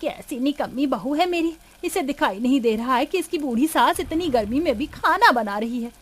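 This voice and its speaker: A woman's voice: sits high, animated, normal speed. A woman playing a weary, aggrieved elderly mother-in-law in a cartoon family drama, groaning and complaining. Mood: bitter. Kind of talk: Hindi animated moral-story acting.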